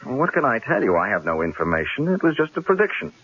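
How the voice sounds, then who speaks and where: An actor playing a stubborn, sardonic newspaper columnist under threat, in an old-time radio drama. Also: male voice, medium pitch, very animated, fast talker, fairly bright tone, breathy edge. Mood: flippant. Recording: dull, hissy radio drama recording.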